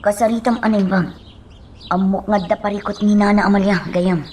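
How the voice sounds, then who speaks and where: A woman playing a worried young working woman who confides haltingly in friends, in an Ilocano radio drama. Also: mid-range voice, steady tone, a little mellow, slight background noise, close to an everyday voice. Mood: concerned. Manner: hesitant, halting.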